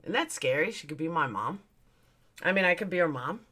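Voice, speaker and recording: Woman, 40s, livestream host: bright, punchy low-ish voice, blunt and chatty while answering viewer comments. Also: animated, fairly quick. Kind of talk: casual livestream chat.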